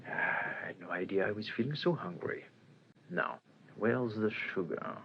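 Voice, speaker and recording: An actor playing a shrewd, self-assured police detective in a radio crime drama, with a theatrical delivery. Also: male voice, lowish voice, expressive, moderate pace, gravelly. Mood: musing, self-assured. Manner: theatrical, musing then demanding. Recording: dull old radio recording, faint hiss.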